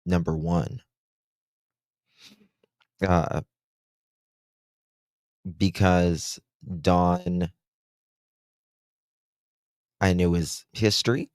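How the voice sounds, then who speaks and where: Man, deep voice, livestream host, halting casual chat, unhurried, dry close sound. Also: smooth, dry recording. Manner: slow, halting, many fillers.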